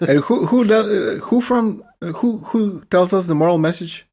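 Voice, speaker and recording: A man co-hosting a podcast, casually retelling things in a halting, deliberate way. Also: high voice, warm tone. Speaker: podcast co-host. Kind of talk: casual chat.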